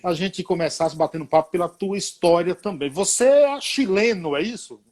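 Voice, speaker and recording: Husky-voiced chatty male host. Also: high-pitched, normal speed, a little mellow. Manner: chatty, enthusiastic interjections. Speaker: interview host. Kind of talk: casual interview chat.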